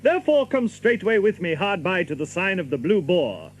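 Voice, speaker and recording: An actor playing a sly, jesting young outlaw in an audio drama, teasing and mock-solemn in archaic English. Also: male voice, sits high, sing-song, normal speed.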